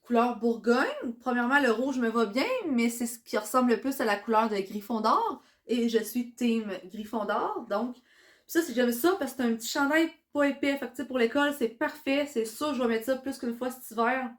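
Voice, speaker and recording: A young woman with a Quebec French accent, chatting excitedly in a casual vlog while congested from a cold. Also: on the high side, normal speed. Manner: chatty and excitable, many fillers. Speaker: vlogger.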